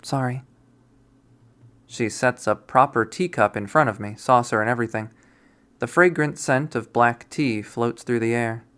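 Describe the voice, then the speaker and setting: A narrator reading a visual novel aloud, quiet and measured, softly voicing the characters. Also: medium pitch.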